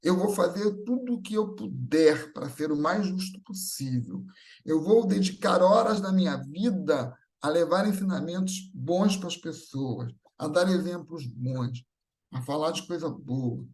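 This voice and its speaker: High-voiced Brazilian man giving a spiritual talk. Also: animated, unhurried, darkish tone. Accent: Brazilian Portuguese. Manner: didactic, checks in with short tag questions, repeats phrases for emphasis. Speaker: speaker at a Spiritist gathering. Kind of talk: spiritual talk, semi-improvised teaching.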